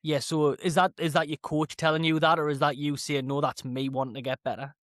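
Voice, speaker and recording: A man's voice: on the high side, flat delivery, brisk, a bit bright, no echo, studio quality. A British man hosting an interview, asking long, chatty questions that tend to drift off track. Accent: British English. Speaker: podcast host. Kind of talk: casual interview.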